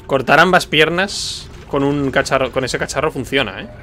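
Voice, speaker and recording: Male streamer, bright voice, informal gameplay musing over faint game noise. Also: medium pitch, normal speed. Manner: muses aloud, informal. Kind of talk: casual gameplay commentary. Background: video game sound.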